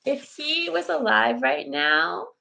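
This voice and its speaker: A hoarse woman, chatting casually as host of a live garden tour from her computer room, greeting viewers by name. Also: on the high side, very animated, takes it slowly, pushed and bright. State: hoarse from recent illness.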